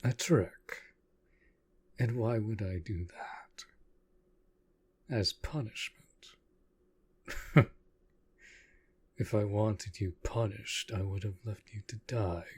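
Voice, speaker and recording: A man playing an aloof, commanding yet protective vampire master in an intimate roleplay audio drama, speaking in a formal, measured way. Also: fairly low pitch, husky, exaggerated voice. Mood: cold, menacing. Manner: formal, measured, deliberate speech.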